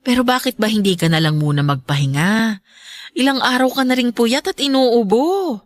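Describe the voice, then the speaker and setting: A woman playing a caring, worried middle-aged mother in a Filipino radio drama, gentle and fretful as she fusses over her child. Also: fairly high pitch, expressive, moderate pace, dry recording, close to an everyday voice.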